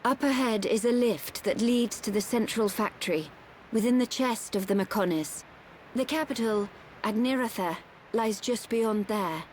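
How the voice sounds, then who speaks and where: Solemn, measured woman playing an ancient guide. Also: fairly high pitch, a little mellow, slight background noise, lightly acted. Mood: calm, instructive. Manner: measured, formal, grave. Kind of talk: scripted video game cutscene acting. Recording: music behind the voice.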